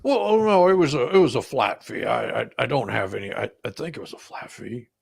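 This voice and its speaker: A man acting out a bumbling, evasive male witness in a comic deposition reading. His voice is heavily creaky and husky, rising and falling freely as he stammers and hedges. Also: mid-range voice, lively intonation, brisk, heavily acted. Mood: flustered, dithering. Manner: hesitant, stammering, dithering.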